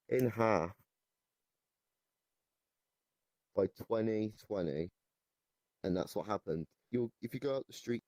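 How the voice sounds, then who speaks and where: Man playing a mellow, rambling, deranged goblin storyteller. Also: on the low side, no room sound, lightly acted. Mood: casual, boastful. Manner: rambling, halting, nonchalant about absurd claims. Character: RoboGoblin, rambling deranged goblin storyteller boasting of absurd evil deeds. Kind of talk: improvised comic storytelling in an interview. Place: virtual reality voice chat.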